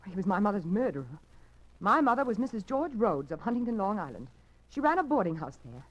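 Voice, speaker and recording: A woman's voice: medium pitch, very animated, fast. An actress playing a frightened young woman who speaks anxiously and stammers in a 1940s radio drama, heard through a dull lo-fi broadcast recording. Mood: fearful.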